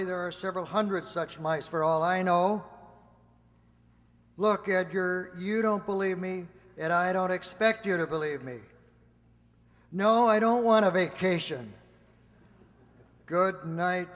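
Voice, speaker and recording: Man playing a flustered, slow-paced, high-voiced official. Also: fairly bright tone. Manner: stammering, hesitant, repeats himself. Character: flustered, earnest male official at secret atomic lab. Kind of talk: amateur radio drama acting. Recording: echoey hall-like reverb.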